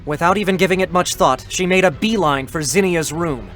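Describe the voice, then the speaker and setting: A man's voice: on the high side, brisk, a bit bright, faint music behind. A man reading scripted story narration in a dramatic style, as the narrator.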